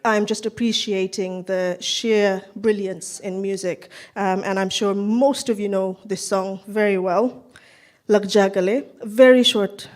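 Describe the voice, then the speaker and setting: A woman from Nepal, a singer chatting between songs at a cultural event, self-deprecating and apologetic, into a stage microphone. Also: mid-range voice. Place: event hall, indoors. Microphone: handheld stage microphone.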